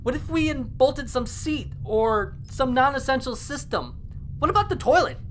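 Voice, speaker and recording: A woman's voice: lively intonation, normal speed, low-quality recording. A woman playing a frantic, desperate stowaway pleading for life in an audio drama, sometimes sarcastic. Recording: faint noise with low rumble.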